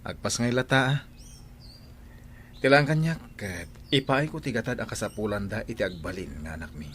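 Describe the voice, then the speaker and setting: An actor with an Ilocano accent playing a proud, evasive husband in a radio drama. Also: male voice, lowish voice, lively intonation, moderate pace, a bit bright, slight background noise. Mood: defensive, dismissive. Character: proud, evasive husband who left his pregnant wife.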